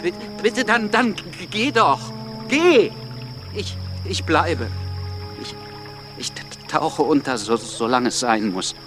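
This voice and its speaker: The stuttering firebrand at a secret meeting of rebels, music behind him. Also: male voice, medium pitch, lively intonation, slow pace. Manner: stammers on first sounds. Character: stammering, impulsive, defiant male revolutionary orator. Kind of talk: German radio drama acting.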